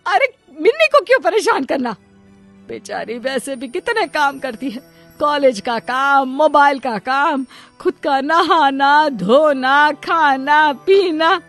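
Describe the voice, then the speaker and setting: A woman's voice: high voice, animated, slow, a bit bright, soft background music, exaggerated voice. A woman voicing a doting, self-pitying middle-aged mother in a Hindi cartoon moral story, fussing and pleading melodramatically. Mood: pleading, protective.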